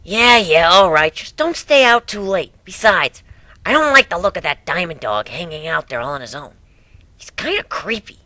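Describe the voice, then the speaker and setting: A woman's voice: fairly low pitch, very animated, normal speed, bright, forceful tone, no echo. A woman voicing a brash, caring young female pegasus pony in a dramatic reading, talking bluntly and casually. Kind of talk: dramatic reading of a fan story.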